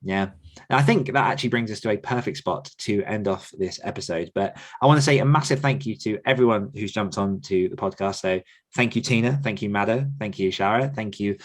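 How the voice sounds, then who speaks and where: A man's voice: on the low side, brisk. A man hosting a podcast casually, in an enthusiastic, effusive manner. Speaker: podcast host and author coach.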